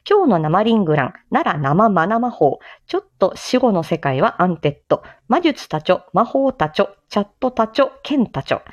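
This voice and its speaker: A woman reciting a theatrical Japanese-style sales-pitch monologue in a declamatory, sing-song way with playful touches. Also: a little mellow. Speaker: amateur voice drama performer, streamer. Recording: slightly dull lo-fi microphone sound.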